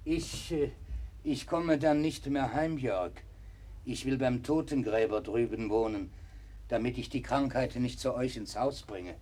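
A man's voice: mid-range voice, a little slow, darkish tone, natural voice. An actor playing a grave, dutiful working father in a 1960s German radio play, speaking plainly and soberly. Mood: grave, protective.

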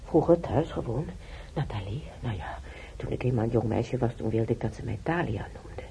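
An actress playing a kindly, resigned elderly woman in hospital in a radio play, musing quietly to herself. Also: rumbling low, lively intonation, normal speed, gravelly, exaggerated voice. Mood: kindly reminiscing. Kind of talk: Dutch radio play acting. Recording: noisy radio drama recording.

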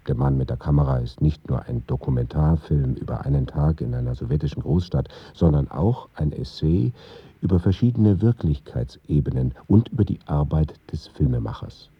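A man narrating a scripted radio documentary in a husky voice. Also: rumbling low, normal speed, dry recording, lo-fi sound.

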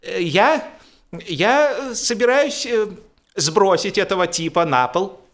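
A man's voice: sits high, lively intonation, takes it slowly, a bit bright, pitched above the performer's own voice, exaggerated voice. A man voicing a tipsy, bewildered young man with a hesitant, plaintive manner in an audiobook comedy.

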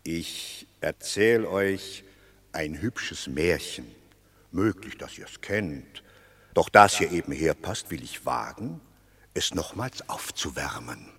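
An actor playing a wily, eloquent Roman patrician in a radio drama, theatrical and rhetorical, placating rebels with fables. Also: male voice, sits low, expressive, slightly rough, heavily acted. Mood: coaxing. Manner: theatrical, deliberate, rhetorical, swinging from hushed to declaiming. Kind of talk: classical German stage-style radio drama acting. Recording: long reverberant hall.

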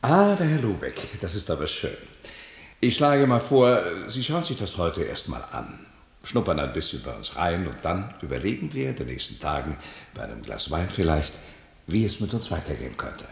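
Suave old boss, swooping gravelly voice. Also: male voice, sits low, very animated, normal speed, roomy sound, heavily acted. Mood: jovial. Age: older. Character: suave elderly company director, jovial and condescending. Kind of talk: radio drama acting.

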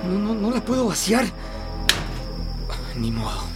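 A man's voice: high voice, sing-song, measured pace, exaggerated voice. An actor playing an urgent, protective man who coaxes and curses while helping a fugitive, in a Latin American radio drama. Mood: tense, cursing. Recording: loud music behind voice.

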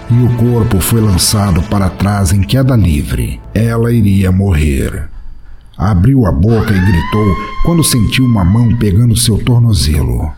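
Low-pitched Brazilian man narrating with wide intonation. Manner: literary, elaborate wording. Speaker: podcast host and narrator. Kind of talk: dramatic scripted narration.

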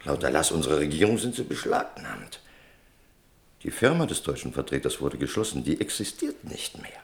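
Radio play role: evasive, pompous male merchant. Very deep, creaky, lecturing and insinuating. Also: very animated, exaggerated voice. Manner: lecturing, insinuating, drawn-out emphasis. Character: evasive, self-important merchant Don Fernandez. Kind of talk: German radio play acting.

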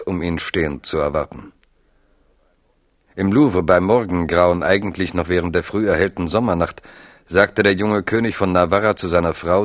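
A man narrating a historical radio play as a grave storyteller, in a solemn, measured, literary manner. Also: sits low, dry recording, studio quality, close to an everyday voice. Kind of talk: dramatic scripted narration for German radio play.

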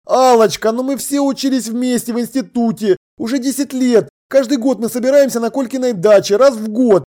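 A man voicing a distraught young boyfriend in an audio drama, loudly and emphatically pleading. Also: extremely high pitch, a little fast, voice pushed up. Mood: pleading, defensive. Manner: loud, emphatic, imploring.

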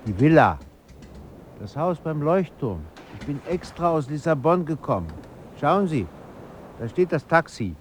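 An actor playing a polite, pensive but insistent writer in a radio drama. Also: male voice, fairly high pitch, very animated, a little slow, a little hiss or hum. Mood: earnest, insistent. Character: polite, pensive, insistent male writer revisiting his past.

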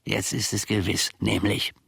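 An older man playing an aggrieved old manservant in a German radio play, gravelly and husky, grumbling in stilted old-fashioned phrases. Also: fairly low pitch, level tone, a little slow, fairly bright tone, dry recording, full character voice. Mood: indignant, grumbling. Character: aggrieved old manservant settling scores with his master.

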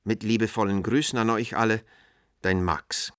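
An actor playing an anguished man reading his letter to an old friend in a radio drama, earnest, pleading and measured. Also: fairly low pitch, steady tone, a bit bright, no echo, clean studio sound, natural voice. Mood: hopeful, urgent.

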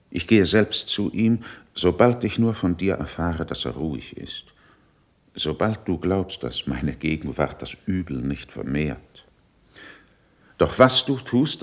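An actor playing a proud older statesman in a classical radio verse drama, with measured, emphatic declamation. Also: low voice, heavily acted. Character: proud, worldly older male statesman, critical yet controlled.

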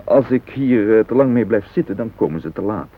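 Low, husky, earnest pleading hostage. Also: male voice, animated, a little fast. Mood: pleading, urgent. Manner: hesitant, earnest, persuasive. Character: earnest male hostage pleading urgently with captor. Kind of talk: radio drama dialogue. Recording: dull, muffled old radio recording.

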